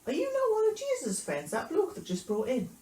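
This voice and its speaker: A woman's voice: very high voice, wildly swooping pitch, a little fast, a little mellow, roomy sound, distant mic, pitched above the performer's own voice, full character voice. Woman playing a prying young servant girl. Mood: accusing. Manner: questioning, insistent.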